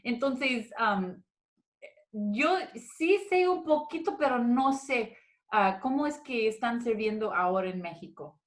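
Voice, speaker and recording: A woman with a somewhat high voice hosts a virtual meeting. She is friendly but hesitant, often stopping to search for words and slipping in English fillers. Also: animated, slow. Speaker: host of women's meeting. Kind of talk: casual virtual interview. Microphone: video call.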